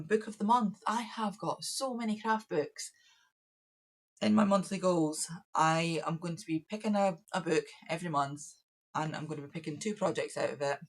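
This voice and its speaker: A woman with a croaky voice, talking through her plans for her craft business in a rambling, self-correcting way. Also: deep voice. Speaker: craft business owner and YouTuber. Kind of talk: casual vlog planning.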